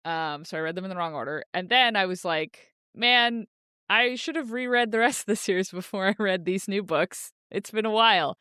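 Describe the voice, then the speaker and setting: A woman's voice: medium pitch, normal speed, sharp tone, smooth, dry recording, clean studio sound. A woman co-hosting a podcast, chatting casually about books in a playful, jokey manner. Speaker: podcast co-host. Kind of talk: casual book discussion chat.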